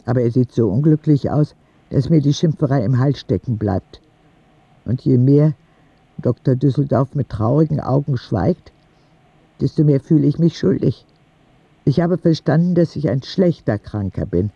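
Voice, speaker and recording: A man's voice: mid-range voice, expressive, moderate pace, gravelly, dark tone, slightly rough, no echo, dull audio. A man playing an earnest, candid ten-year-old sick boy who narrates his letters in a radio drama. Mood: earnest, frustrated, then candid, wistful.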